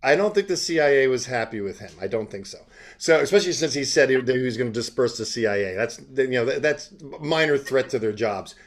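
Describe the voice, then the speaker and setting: A man hosting an online interview, talking casually and earnestly about his own views in a rambling, halting way. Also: mid-range voice, lively intonation. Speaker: interviewer and online show host. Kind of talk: casual chat with personal testimony.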